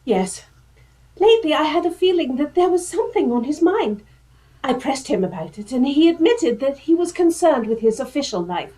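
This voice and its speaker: A woman's voice: high-pitched, big pitch swings, moderate pace, mellow, rough recording. A woman acting a grieving, loyal young lady in a radio drama, earnest and formal. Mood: hesitant, confiding.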